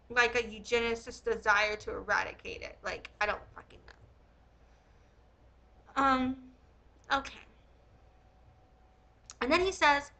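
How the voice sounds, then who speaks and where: High, bright-voiced woman, blunt and opinionated. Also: poor audio. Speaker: host reading and critiquing a historical text. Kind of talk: dramatic reading with casual, profane commentary.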